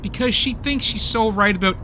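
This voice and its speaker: A man's voice: sits high, a little fast, background noise, natural voice. An actor in a comic radio play playing a stubborn, boastful young man with a grumbling, defensive, wry manner.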